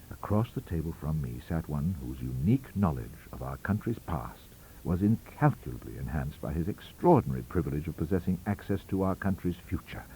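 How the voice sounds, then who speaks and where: A man's voice: sits low, mellow, husky, rough recording. A man reading a ghost story aloud as an audiobook, with theatrical, wry delivery. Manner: theatrical, wry, richly varied. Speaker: audiobook reader. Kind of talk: expressive storybook narration.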